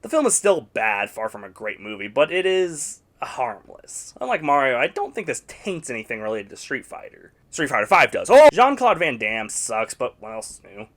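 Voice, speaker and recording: A man's voice: mid-range voice, sing-song, moderate pace, hardly any pauses, a bit bright. A man narrating a scripted video essay reviewing video game movies, with sarcastic, blunt opinions.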